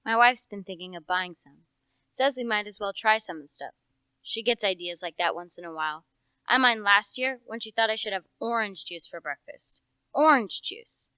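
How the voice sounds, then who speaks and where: A young woman playing a gruff, nosy rustic village policeman in a radio play, with a folksy, officious way of talking. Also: medium pitch, normal speed, pushed and bright, no room sound, close to an everyday voice. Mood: chatty, grumbling. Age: young adult. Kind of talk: radio play character acting.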